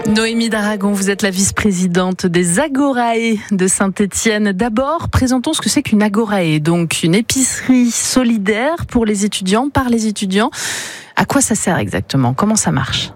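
A woman hosts a radio magazine from the studio mic. She is lively and jokey, often rephrasing and summing up her guest's answers. The sound is close and dry, and her voice sits at a middle pitch. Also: normal speed, no echo, soft background music. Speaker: radio host. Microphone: studio microphone.

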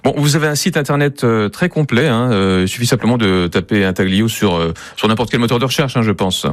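Man, radio interviewer, fast talker, friendly, dry close sound. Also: on the low side, dry recording. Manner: brisk, friendly questions with fillers.

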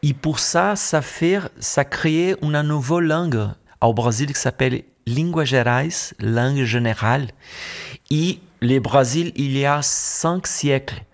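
A middle-aged Brazilian musician speaking French with a Portuguese accent, pausing often and restarting while being interviewed. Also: male voice, mid-range voice. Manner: searching for words, many hesitations and restarts. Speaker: musician guest. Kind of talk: radio interview.

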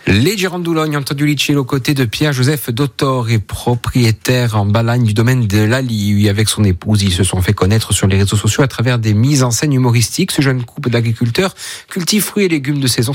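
A man's voice: medium pitch, fairly quick, dry recording. A man presenting a radio show, reading a scripted introduction. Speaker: radio host.

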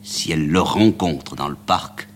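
A man's voice: a bass voice, measured pace, a little hiss or hum. A man voicing an anxious aristocratic duchess, urgent and alarmed.

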